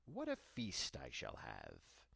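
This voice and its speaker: A man's voice: extremely low pitch, wildly swooping pitch, takes it slowly, creaky, fairly bright tone, husky, no room sound, studio quality, voice pushed down, full character voice. A man voicing a wicked old witch, cunning and greedy, who scolds and commands in a storybook reading. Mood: gloating.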